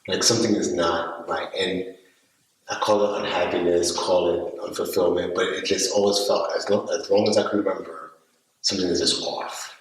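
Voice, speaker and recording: A male podcast host asking questions in an interview, supportive and gently probing. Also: sits low, fairly even intonation, moderate pace. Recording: echoey room, far from mic, faint noise.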